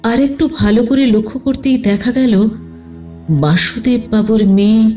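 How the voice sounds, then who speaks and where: A woman's voice: a little high, a little slow, mellow. A woman narrating a scripted detective story as an audio storyteller. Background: music.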